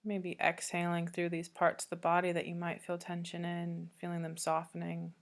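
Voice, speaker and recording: A woman with a low voice leads a guided yoga meditation. Her delivery is very flat and steady, with little melodic movement. She gives gentle, calming instructions and leaves long pauses between phrases. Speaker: yoga nidra teacher.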